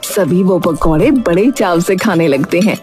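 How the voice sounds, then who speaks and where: A woman's voice: lowish voice, lively intonation, unhurried, darkish tone, soft background music. A woman narrator telling a scripted children's-style cartoon story.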